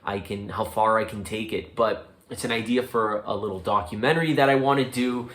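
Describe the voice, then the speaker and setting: A man's voice: fairly low pitch, a little fast, fairly bright tone, echoey room. A man with a Canadian accent talking casually and a little hesitantly, like a first-person vlog. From Mississauga, Canada. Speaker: vlogger making a first documentary.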